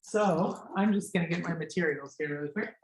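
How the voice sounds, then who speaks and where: Soft-spoken class leader. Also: mid-range voice, a little fast, poor audio. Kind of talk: informal class introduction. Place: church meeting room.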